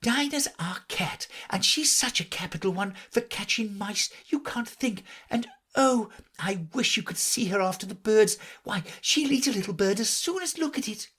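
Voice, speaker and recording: A man's voice: sits high, sing-song, moderate pace, breathy edge, pitched above the performer's own voice, heavily acted. Man voicing an earnest little girl. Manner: eager, humble, earnest.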